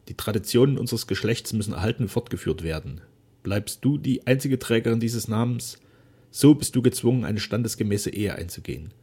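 A man reading aloud voices a dying old duke speaking solemnly and gravely to his daughter. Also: lowish voice, darkish tone. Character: dying proud old duke, remorseful father.

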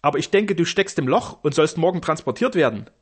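A male narrator voicing Juanito, a sly, loyal highway robber, telling his story boastfully and eagerly in a breathy voice. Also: lively intonation, brisk.

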